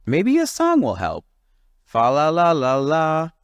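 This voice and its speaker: A man's voice: a little high, huge pitch swings, takes it slowly, clear, resonant tone, no room sound, studio quality, voice pushed up, exaggerated voice. A man voicing a cheerful, coaxing stork from a storybook in a sing-song manner. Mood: cheery coaxing. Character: cheerful marabou stork urging festive celebration.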